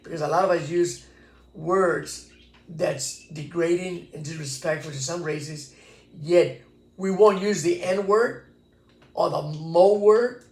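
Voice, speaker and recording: A man's voice: on the high side, darkish tone, roomy sound. A man in his sixties from Texas, telling stories from his past in a reflective, earnest interview. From Texas, USA. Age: 60s. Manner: reflective, earnest storytelling with many restarts. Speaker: interviewee, former pachuco. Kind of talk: casual recorded interview.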